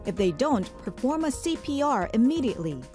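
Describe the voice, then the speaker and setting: A woman reading scripted first-aid instructions in a calm, instructive way. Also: fairly high pitch, brisk. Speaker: narrator of a safety announcement. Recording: music behind the voice.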